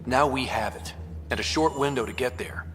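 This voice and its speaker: A man's voice: medium pitch, normal speed, a bit bright, close to an everyday voice. An actor playing a determined, wry young man who leads explorers in a sci-fi video game. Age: young adult. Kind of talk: naturalistic video game cutscene acting. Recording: long echoey reverb, faint music behind.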